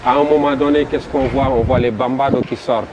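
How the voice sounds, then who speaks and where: A man with a Senegalese French accent, a festival promoter describing his event in an interview, halting and repeating words as he goes. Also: mid-range voice, steady tone, highs cut off. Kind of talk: interview answers. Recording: noisy background.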